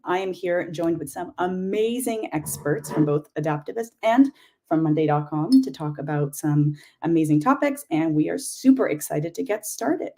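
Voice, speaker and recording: A woman's voice: lowish voice, warm tone. A woman hosting an online panel discussion in an informal, effusive and chatty manner. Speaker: event host and panel moderator.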